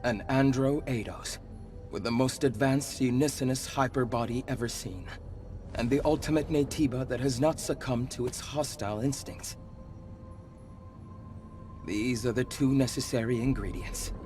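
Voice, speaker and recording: An actor playing an ancient monster leader who was once human, speaking gravely, with measured, earnest persuasion in a video game cutscene. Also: male voice, mid-range voice, darkish tone, natural voice. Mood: persuasive. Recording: background music under the voice.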